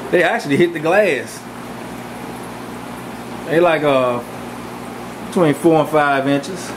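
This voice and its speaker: A man giving a relaxed, rambling walk-through of his home aquarium room, speaking casually with many fillers into a handheld camera. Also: a little high, takes it slowly. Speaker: fish breeder giving a tour. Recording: camcorder audio with fan hum.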